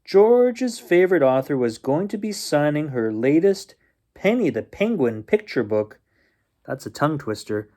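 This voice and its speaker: A man reading a children's picture book aloud as a bedtime storyteller, expressively and with drawn-out suspense. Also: fairly high pitch, very animated, warm tone.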